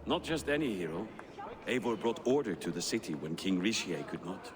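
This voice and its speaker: Smug Viking warrior, dry and mocking, low-pitched. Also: male voice, very animated, a little fast, background noise. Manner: dry, sarcastic.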